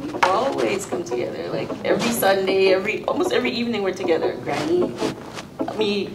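A woman talking casually and briskly about family meals on a panel. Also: mid-range voice, echoey room, recorded from a distance, very noisy. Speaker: panelist, mother. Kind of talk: panel discussion. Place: meeting room.

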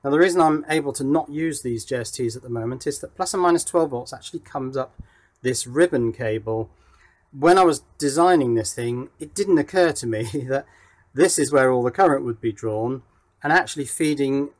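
A man giving a casual hands-on electronics demonstration, thinking aloud as he works. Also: mid-range voice. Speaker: electronics hobbyist presenter. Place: indoor workshop.

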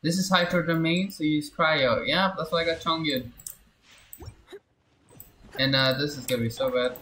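A game streamer giving casual, excitable live commentary full of muttered repeats and exclamations. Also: medium pitch, moderate pace, reverberant, a little hiss or hum.

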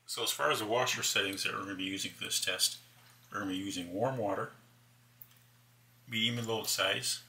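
A man's voice: lowish voice, fairly even intonation, brisk, creaky, long pauses, pushed and bright, breathy edge, poor audio. A man narrating a plain, matter-of-fact home test of laundry detergents as a product reviewer.

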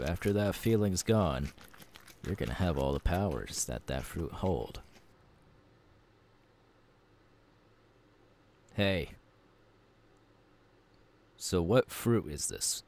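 A man voicing a gruff, easygoing pirate captain in an ASMR roleplay, gravelly and unhurried, talking intimately to the listener. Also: deep voice, a little mellow, a little hiss or hum, exaggerated voice. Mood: amused, reassuring. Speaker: voice actor.

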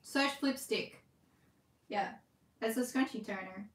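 A young Australian woman chatting casually on a livestream from her sewing room, reading comments, talking fast and laughing often. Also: mid-range voice, roomy sound, a little hiss or hum. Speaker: livestream host, scrunchie maker. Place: home sewing room, indoors.

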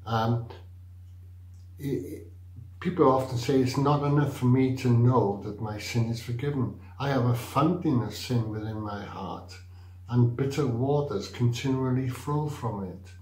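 An older man giving a daily devotional talk, preaching slowly and carefully with frequent pauses and fillers. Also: mid-range voice, darkish tone. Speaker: church devotional speaker. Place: small indoor room, plain wall. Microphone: webcam or camera facing him at desk. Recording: roomy echo.

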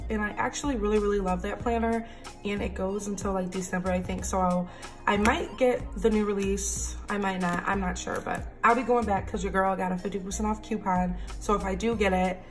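A woman in her thirties talks in a raspy, slightly deep morning voice, chatty and excited as she shows off her purchases. She sounds close, as if at a table at home under an overhead camera. Soft music plays faintly beneath her. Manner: chatty, enthusiastic. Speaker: planner vlogger. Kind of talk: casual haul and flip-through.

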